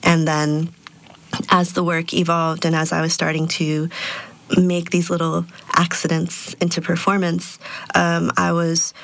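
A woman's voice: lowish voice, monotone, unhurried, vocal fry, a bit bright, no room sound. A woman in her thirties, an artist born in Peru and raised in Texas, answering interview questions in a reflective way with many fillers. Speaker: sound artist and DJ.

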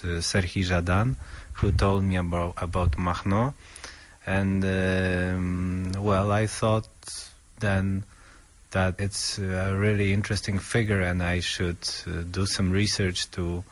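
Male author interviewee, very deep register, unhurried and halting delivery. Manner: halting, thoughtful, searching for words. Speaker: author interviewed about his book. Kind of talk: interview answers in English as a second language.

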